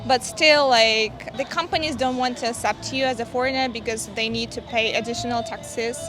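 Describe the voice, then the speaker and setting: A young woman from Ukraine talks through a street interview in a chatty, filler-heavy way. Her voice sits a little high, with music playing behind her. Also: fairly even intonation, moderate pace, rough recording.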